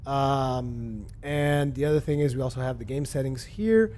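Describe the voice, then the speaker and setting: A man casually reviewing a video game for his channel, relaxed and rambling, with lots of fillers and restarts. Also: medium pitch, normal speed. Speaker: gaming video host.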